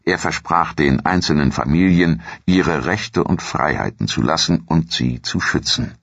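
Very deep, gravelly male narrator. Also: a bit bright, no room sound, highs cut off. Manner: measured, formal read delivery. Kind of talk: scripted documentary audiobook narration.

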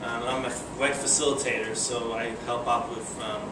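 A man's voice: medium pitch, little pitch movement, normal speed. A young man with a Polish accent giving a casual presentation at a breakfast meeting, hesitant, with many fillers and restarts. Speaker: guest speaker. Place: conference room, speaking to a seated audience. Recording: echoey room, far from the mic, air conditioning hum.

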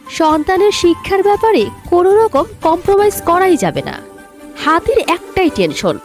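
A woman narrating a scripted children's story in an expressive way. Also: high voice.

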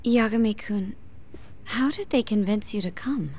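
An actress playing a cool, guarded prosecutor in a video game, with a composed, dry, formal delivery. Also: female voice, mid-range voice, a little slow, a little mellow, husky. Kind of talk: English game voice acting.

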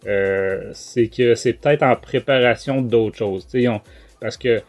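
A man's voice: mid-range voice, a little slow, darkish tone. Hesitant Quebec man over background music. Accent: Quebec French. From Quebec, Canada. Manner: hesitant, rambling. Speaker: podcast host. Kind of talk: casual review discussion.

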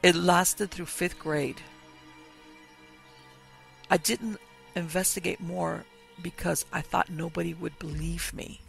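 A man hosting a podcast, reading listener ghost stories aloud in a slow, measured storytelling manner. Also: fairly high pitch, fairly even intonation, vocal fry, fairly bright tone, faint music behind. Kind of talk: scripted narration of ghost stories.

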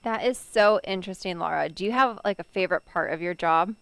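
A woman's voice: fairly low pitch, a little fast, creaky, a bit bright, clean tone, dry recording. A young woman hosting an educational program, upbeat and asking guided questions into a headset mic in a studio. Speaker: host. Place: studio set.

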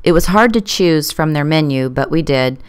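A woman's voice: low voice, animated, normal speed, clear, resonant tone, no room sound, clean studio sound. A woman reading scripted promotional narration.

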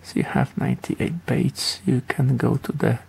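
Very creaky-voiced man, slow rambling game commentary. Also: medium pitch, huge pitch swings, darkish tone, poor audio. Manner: rambling, offhand, hesitant. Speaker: gaming video creator. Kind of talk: casual game tutorial commentary.

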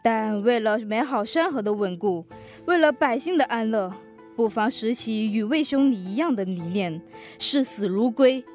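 A teenage girl acts a dutiful soldier with ideals in a student radio drama. The voice is rather high, earnest and formal, with soft music behind. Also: expressive, normal speed, natural voice. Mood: reflective.

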